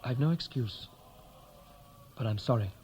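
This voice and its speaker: Actor as regretful returning emigrant man, dry, hesitant delivery, mid-pitched, vintage radio play, clear background noise. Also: expressive, measured pace, darkish tone, natural voice. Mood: regretful, uneasy. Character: Welsh-born man home from America, regretful, restless. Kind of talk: 1960s radio drama acting. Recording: noisy old radio play recording.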